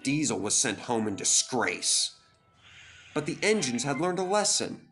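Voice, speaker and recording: A man's voice: medium pitch, big pitch swings, measured pace, a bit bright, faint music behind. A man narrating a scripted children's story as a dramatic storyteller.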